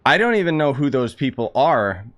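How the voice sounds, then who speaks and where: A man's voice: medium pitch, sing-song, normal speed, fairly bright tone, no room sound. A young man giving casual, sarcastic, slangy commentary as a gaming streamer. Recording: game music behind the voice. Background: game music.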